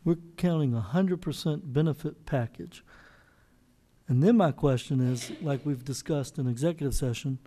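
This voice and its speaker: A man's voice: medium pitch, warm tone. A man chairing a county council meeting, asking pointed budget questions through a desk mic in a large chamber. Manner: deliberate, questioning. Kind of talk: public meeting discussion.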